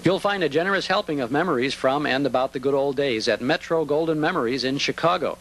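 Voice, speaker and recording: A man's voice: on the high side, fast, fairly bright tone, a little hiss or hum, rough recording. A man reading scripted advertising narration as a commercial announcer.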